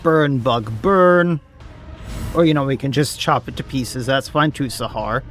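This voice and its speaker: A man streaming a game, chatting casually, laughing often and reading chat aloud, his voice going hoarse. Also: medium pitch, unhurried, a bit bright, faint music behind. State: losing his voice. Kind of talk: casual gameplay commentary.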